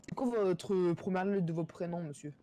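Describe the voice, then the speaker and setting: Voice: mid-range voice, moderate pace, a crowd in the background. A player role-playing a police cadet online, politely and hesitantly narrating each action over voice chat. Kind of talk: casual improvised online role-play. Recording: noisy game voice chat.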